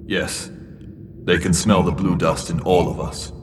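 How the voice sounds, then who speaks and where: An actor playing a grave, commanding mentor in a sci-fi audio drama, terse and solemn. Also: male voice, sits low, level tone, unhurried, slight background noise. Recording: reverberant, with music underneath.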